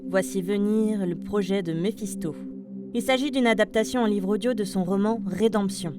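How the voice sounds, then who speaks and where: A woman's voice: medium pitch, normal speed, noisy background. A woman reading scripted podcast news as presenter, addressing listeners like an announcer. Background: light music.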